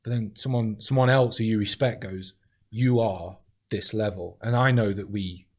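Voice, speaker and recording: A man talking in casual chat as a comedian guest, deadpan and blunt, swearing freely as he tells stories. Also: on the low side, normal speed.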